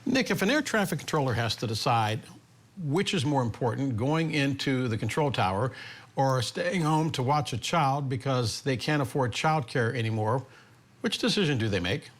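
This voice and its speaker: Male broadcast interviewer, middle-pitched voice. Pointed, direct questioning. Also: lively intonation, moderate pace. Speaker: news interviewer.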